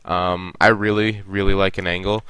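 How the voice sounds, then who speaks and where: A man's voice: low-pitched, little pitch movement, normal speed, sharp tone, clean tone, no room sound, low-quality recording. A young man with a stuffy nose, casually reviewing a team's game and coaching the players directly. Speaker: esports coach reviewing a match.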